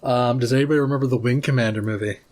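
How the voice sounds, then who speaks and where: A man's voice: mid-range voice, steady tone, very fast, darkish tone. A man chatting casually as a panelist on a podcast. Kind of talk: casual panel chat about films.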